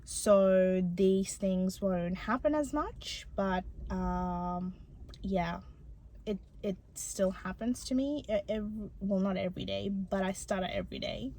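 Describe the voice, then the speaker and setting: A young woman from Bangladesh who stutters, talking personally to her viewers with many pauses and ums. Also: mid-range voice, dark tone, slight background noise. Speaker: channel host. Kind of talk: personal vlog talk to camera.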